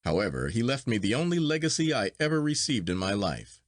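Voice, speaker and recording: A man voicing a melancholy, reserved gentleman of forty in a storybook reading, reflective and quietly bitter. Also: lowish voice, sing-song, fairly quick, no room sound, studio quality. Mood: wistful, bitter. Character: melancholy, wistful forty-year-old gentleman friend, amused and reserved. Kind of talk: acted dialogue in storybook reading.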